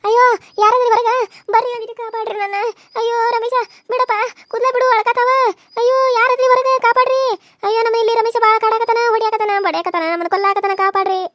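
A woman's voice: very high-pitched, little pitch movement, a bit bright, no echo. A woman playing frightened village women who plead and wail. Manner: wailing, pleading, fast.

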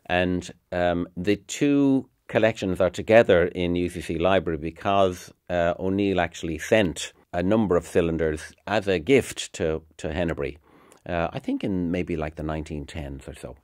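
A middle-aged man talks in a very low voice, reflective and a little halting, as in a documentary interview. Fiddle music plays behind him. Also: darkish tone, dry recording, clean studio sound. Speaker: university music academic.

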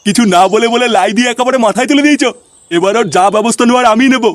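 A man's voice: extremely high pitch, very fast. A man voicing a hot-tempered, foul-mouthed ageing father in a comedy cartoon. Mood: angry scolding. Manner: scolding, profane. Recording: clearly noisy track.

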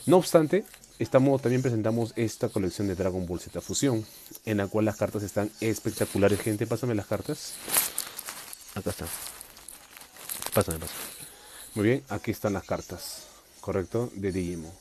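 A man's voice: fairly low pitch, normal speed, long pauses, noisy background. A man hosting a live unboxing stream from a tabletop, chatty and upbeat, reading viewer comments aloud. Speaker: collector livestream host. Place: indoors at a table.

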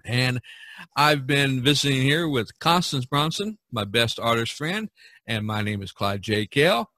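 A man's voice: mid-range voice, lively intonation, a little slow, sharp tone, dry recording. A man hosting an art podcast in casual chat, speaking in an encouraging way. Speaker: podcast host and artist.

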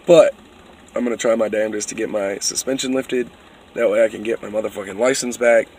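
A young man talks into a phone held at arm's length in a moving SUV. His voice is going hoarse, and his talk is loose, joking and full of swearing. Faint road noise runs underneath. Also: fairly low pitch, fairly even intonation, fairly quick, a little mellow. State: losing his voice. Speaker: vlogger. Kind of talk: casual car vlog.

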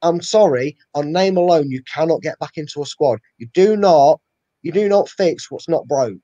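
A man's voice: fairly high pitch, moderate pace, warm tone, no echo. A young man giving emphatic opinions in a casual football chat as a fan channel co-host. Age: young adult. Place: living room at home, indoors. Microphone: video call, webcam. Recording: dull lo-fi video call audio.

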